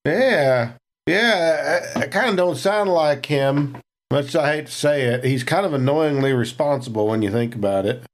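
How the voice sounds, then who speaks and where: A man voicing a grumbling, uneasy male crew hand on a space salvage ship, with a hesitant, halting drawl. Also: on the high side, natural voice. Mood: awkward.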